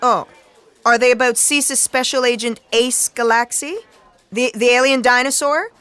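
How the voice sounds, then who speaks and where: An actress plays a haughty woman who runs the office of destiny as editor-in-chief. Her voice sits fairly high and cuts through with a bright, sharp edge. She gives orders in crisp, commanding pronouncements, in radio play style. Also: steady tone, moderate pace, dry recording, lightly acted. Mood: imperious. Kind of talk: audio theatre acting.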